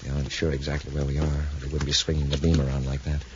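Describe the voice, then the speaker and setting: An actor playing a steady, resolute space patrol commander with an authoritative manner in a 1950s radio adventure serial. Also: male voice, rumbling low, quick pace. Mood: calm, calculating. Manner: authoritative, clipped orders. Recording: muffled, faintly noisy 1950s radio recording.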